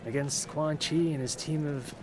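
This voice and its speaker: An actor as an earnest young male fighter in a game cutscene. His voice sits a little high and sounds watchful. There is clear noise in the background. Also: fairly even intonation, moderate pace, close to an everyday voice. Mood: wary, earnest. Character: earnest young male soldier, wary and focused.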